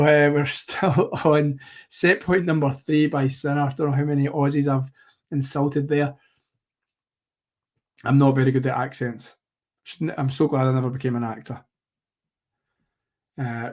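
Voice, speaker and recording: A man hosting a live tennis watchalong, commentating play by play in a rambling, self-correcting way, slightly hoarse. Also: fairly high pitch, flat delivery, measured pace, long pauses.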